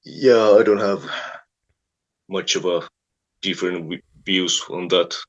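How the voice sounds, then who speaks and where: Slow, deep-voiced musician. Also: male voice, highs cut off. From Finland. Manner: slow, hesitant, dry and wry. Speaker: guest, band frontman. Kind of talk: interview answers.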